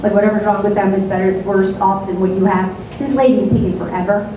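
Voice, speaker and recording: A woman's voice: mid-range voice, quick pace, background noise. Sarcastic woman comic in an echoey club. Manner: deadpan, sarcastic asides. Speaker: stand-up comedian. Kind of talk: stand-up comedy set. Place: comedy club stage. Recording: echoey club sound from a distant mic.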